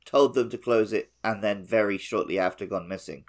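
Man, deep voice, gaming podcast co-host, dry jokey asides, casual chat. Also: fairly quick.

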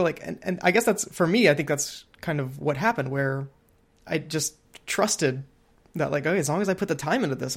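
A man's voice: a little high, creaky. A man, perhaps in his thirties or forties, talking casually on a podcast, thinking aloud in a rambling way and acting out imagined quotes. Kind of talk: casual conversational podcast chat.

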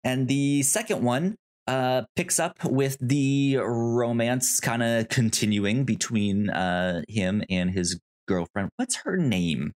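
A man hosting a podcast, casually recapping movies in a halting, stammering way with repeated word starts. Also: medium pitch. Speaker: podcast host.

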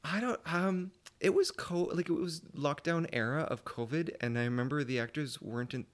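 A man's voice: a little high, moderate pace. A man speaking as an interview guest in casual chat, loose and giggly, often trailing off mid-thought.

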